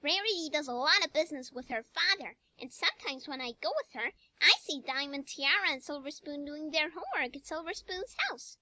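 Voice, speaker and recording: A woman's voice: sits high, brisk, hardly any pauses, pushed and bright, no echo. A woman voicing an eager, chatty little filly pony in a cartoon-style audio story.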